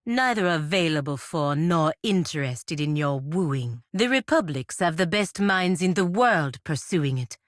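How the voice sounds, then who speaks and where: An actress playing a proud, stern paladin envoy in a fantasy video game, speaking in a formal, haughty, measured way. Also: female voice, sits low, expressive, no room sound, studio quality. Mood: cool, disapproving. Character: proud, stern, dutiful female paladin envoy of a merchant republic.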